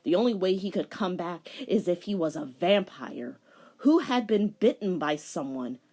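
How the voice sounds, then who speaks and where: Female reader, lowish voice, swinging theatrical intonation, wry commentator on a dramatic story. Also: animated, normal speed, mellow. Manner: theatrical storytelling with joking asides. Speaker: fan fiction reader and commentator.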